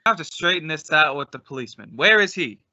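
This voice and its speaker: Sharp, bright-voiced exasperated straight man played by a man. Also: on the high side, animated, quick pace, no echo, dull audio. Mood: alarmed. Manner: exasperated explaining, scolding. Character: exasperated, bossy straight man of a comedy duo. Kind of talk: old-time radio comedy acting.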